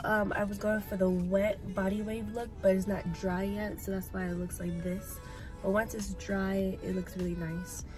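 A young woman in her twenties chatting casually to her phone camera for a vlog, sounding slightly nasal. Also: mid-range voice. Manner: chatty, addresses viewers as "you guys". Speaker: vlogger. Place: car interior, then bedroom. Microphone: phone camera at arm's length.

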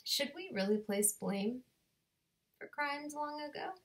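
A woman in her thirties recites her own spoken word poem into a webcam in a home bedroom. She takes her time, with a slow, rhythmic pace and deliberate pauses, and her voice sits slightly high for a woman. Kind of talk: spoken word poetry performance. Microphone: webcam at desk distance.

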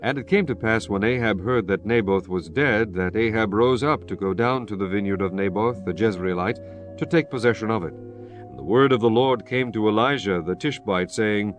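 A man's voice: lowish voice, normal speed, soft background music. A man narrating scripture in a solemn, formal reading style for a dramatized Bible.

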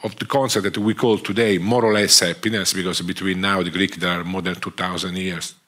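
Deep, bright-toned Italian man lecturing. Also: level tone. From Italy. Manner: halting, many fillers and restarts. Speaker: guest lecturer. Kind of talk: academic lecture. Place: theatre stage. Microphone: headset.

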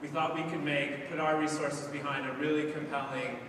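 Upbeat man talking on a boomy stage. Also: little pitch movement, brisk. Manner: upbeat, casual, with fillers. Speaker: guest speaker. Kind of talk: conference keynote talk. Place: large conference hall stage. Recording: echoey hall from far off with noise.

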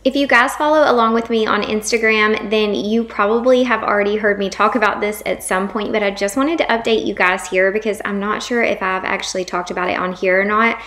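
A woman's voice: medium pitch, steady tone, fairly quick, hardly any pauses, a bit bright. A young woman, narrating her home vlog in a chatty, rambling way with plenty of fillers. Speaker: home vlogger. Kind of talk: casual voice-over narration.

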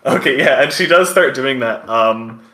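Halting male game master, roomy sound. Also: medium pitch, expressive, bright, forceful tone. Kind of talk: improvised tabletop game narration.

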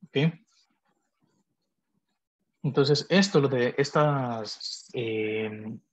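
A man teaching a logic lesson over a screen recording, speaking in a measured, deliberate way and pausing to correct himself as he explains. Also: medium pitch, husky. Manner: measured, deliberate, thinks aloud with pauses and self-corrections. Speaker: math teacher. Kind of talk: explaining logic notation on a digital whiteboard.

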